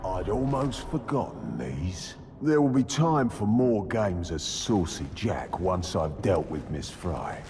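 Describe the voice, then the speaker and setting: A man's voice: very animated, takes it slowly, few pauses, full character voice. An actor playing a menacing male serial killer, gloating and cruel, in a video game. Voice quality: low, gloating. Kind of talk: video game cutscene acting. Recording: game audio with clear background noise.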